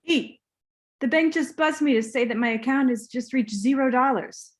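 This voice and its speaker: Woman playing young male film star, entitled, clueless, somewhat high voice, comedy table read. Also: moderate pace, close to an everyday voice. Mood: casual.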